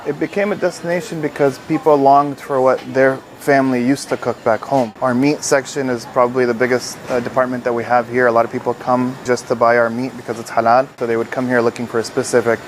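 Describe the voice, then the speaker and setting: A man from the United States who runs a family grocery store, speaking in a relaxed, plain way in a news interview. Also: medium pitch, fast talker, few pauses, slight background noise, poor audio.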